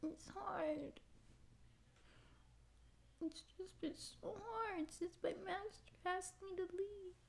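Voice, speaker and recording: A young woman acting a naive, pouty stray catgirl in a soft, halting ASMR roleplay voice. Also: extremely high pitch, vocal fry, a little hiss or hum, heavily acted. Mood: plaintive, wistful. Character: naive, tearful stray catgirl would-be space pirate. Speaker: ASMR voice actor. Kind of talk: ASMR roleplay audio drama.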